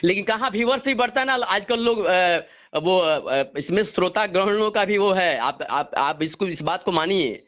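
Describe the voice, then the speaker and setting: A man's voice: sits high, lively intonation, normal speed. A man with a Bihari accent, a singer, explaining himself defensively and insistently over the phone, often repeating himself. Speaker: Bhojpuri singer. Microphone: telephone. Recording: narrow muffled phone-call recording.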